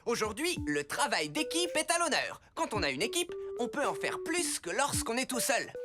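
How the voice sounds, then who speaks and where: A man's voice: very high voice, wildly swooping pitch, normal speed, full character voice. A man voicing an upbeat, encouraging superhero schoolteacher in a French children's cartoon dub. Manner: encouraging, theatrical, announcing.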